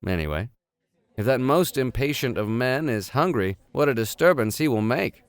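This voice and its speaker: Expressive man reading, very dry sound. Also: medium pitch, moderate pace, clear, resonant tone, no echo. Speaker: audiobook reader. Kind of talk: expressive narration of classic literature with casual asides.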